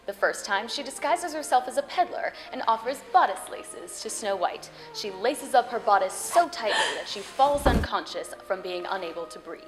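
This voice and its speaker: A woman's voice: fairly high pitch, animated, normal speed, hardly any pauses. Playful woman hosting with music behind her. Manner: playful, theatrical, addresses the viewer directly. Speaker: host of a fairy tale web show. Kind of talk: scripted storytelling narration to viewers.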